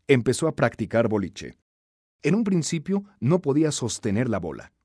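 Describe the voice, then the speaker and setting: A man narrating a scripted self-help audiobook in an emphatic, instructive, expressive way. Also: mid-range voice, dry recording, studio quality.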